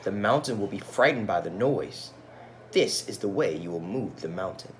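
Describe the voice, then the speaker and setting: A solemn wise man of a storybook village, read by a man, handing out measured instructions. The pitch moves about freely, over faint noise. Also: animated, lightly acted. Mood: solemn, instructing.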